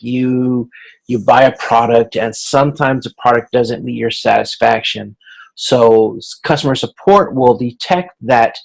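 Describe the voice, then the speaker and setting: A man speaking as an instructor in an informal recorded lecture, explaining in a halting, conversational way with a gravelly voice. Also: mid-range voice, low-quality recording. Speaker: instructor giving class feedback.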